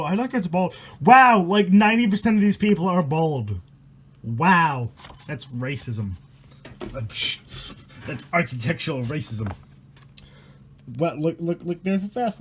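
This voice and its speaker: A man's voice: high voice, darkish tone. A man reading a storybook aloud in a dramatic way, mixing the narration with casual, crude, mock-horrified commentary.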